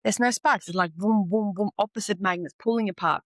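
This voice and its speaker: A woman's voice: medium pitch, a little fast, no room sound, studio quality. A woman from Sweden, hosting a podcast and giving a blunt, emphatic motivational talk, swearing and repeating points for emphasis. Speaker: podcast host and teacher. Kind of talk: motivational monologue.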